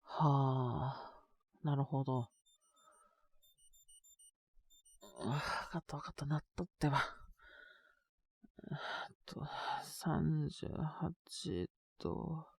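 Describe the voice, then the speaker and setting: A man playing a sick, stubborn but shy teenage boy in an intimate Japanese audio drama, speaking in a halting, casual, congested mumble. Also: fairly high pitch, creaky, a little mellow, breathy edge, no room sound, clean studio sound, exaggerated voice. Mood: weary, unwell. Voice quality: congested cold-sick voice with coughs.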